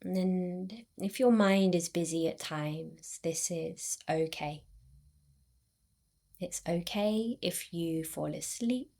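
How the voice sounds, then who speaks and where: A woman guiding a yoga nidra relaxation in a soothing, unhurried way, with long pauses between short phrases. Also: on the low side, warm tone. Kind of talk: guided meditation.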